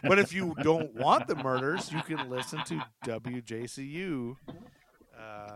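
A man's voice: medium pitch, a little slow, fairly bright tone. A middle-aged man hosting a podcast in a casual, chummy, rambling way as he chats with guests. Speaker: podcast host.

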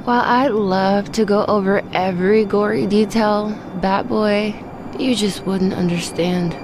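A woman's voice: mid-range voice, fairly even intonation, unhurried, close to an everyday voice. A woman playing a tough, guarded young cat burglar with a defiant, dramatic delivery in an audio drama. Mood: sardonic. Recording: clear noise and ambience behind voice.

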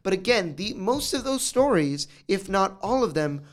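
A man from the United States talking in a casual discussion, animated and acting out quoted exchanges. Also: sits high, normal speed.

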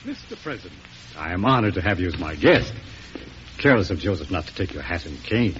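A man's voice: deep voice, sing-song, brisk, a bit bright, soft background music. A man playing a haughty, hard-hearted senator in mid-century radio drama, with a curt, pompous, dismissive delivery. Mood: smooth, unyielding. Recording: muffled, noisy old radio broadcast.

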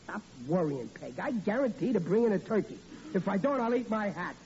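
An actor playing a blustering, excitable family man who stammers when flustered, in 1940s radio acting, on an old lo-fi recording with faint hiss. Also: high voice, brisk. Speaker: lead character in a radio comedy.

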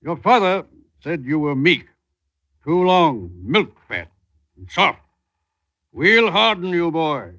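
An actor playing a stern, domineering ship's captain in an old radio drama, gruff and commanding. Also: male voice, on the high side, big pitch swings, slow pace, long pauses, bright, forceful tone, heavily acted. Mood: stern, menacing. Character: stern, domineering ship's captain hardening his cabin boy. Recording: muffled vintage radio recording.

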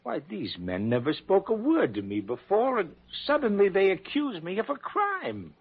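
An actor playing an indignant, slippery innkeeper in an old-time radio drama, stiff and affronted, with a husky voice. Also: male voice, high voice, huge pitch swings, normal speed, heavily acted. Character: indignant, slippery male holiday-house owner. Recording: muffled old radio recording.

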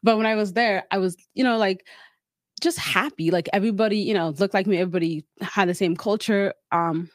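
A young woman from South Sudan chats easily as a podcast guest, leaning on casual fillers while she tells her story. Her voice sits at a middle pitch. It is recorded dry and close. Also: brisk, dry recording. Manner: relaxed, storytelling, frequent "like" fillers. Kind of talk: casual interview chat.

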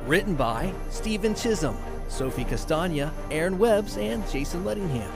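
A man's voice: sits high, expressive, normal speed, creaky, over music. A man reading scripted podcast credits as an announcer.

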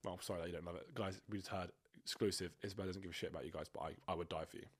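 A man's voice: low-pitched, steady tone, rapid-fire, slightly rough. A young man, co-hosting a podcast in crude, joking banter, his voice nasal and blocked up. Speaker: podcast co-host. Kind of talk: casual chat.

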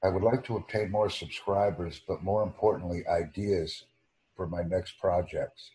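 A deep, husky American man with a mellow, dark tone, speaking slowly and formally. He lays out each phrase with careful, over-enunciated precision, as if addressing a class. The sound is that of a computer mic on a video call. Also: steady tone, rough recording. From United States. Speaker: YouTube channel host.

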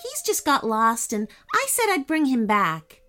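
Woman acting as young girl heroine, storybook, earnest, plucky, polite, emphatic, high pitch, lively intonation. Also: a little slow, faint music behind, exaggerated voice. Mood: polite, challenging. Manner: frank, emphatic.

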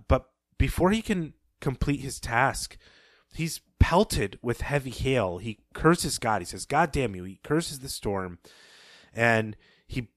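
A man co-hosting a podcast, thoughtfully recapping and discussing a story with some pauses and restarts. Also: medium pitch, no echo, studio quality. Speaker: podcast co-host. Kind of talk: casual book discussion.

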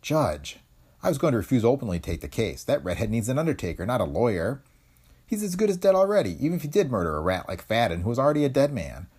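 A man's voice: medium pitch, very animated, quick pace, a little mellow, breathy edge, pitched above the performer's own voice. A man voicing a young male attorney who talks in a blunt, dry way. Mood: reluctant.

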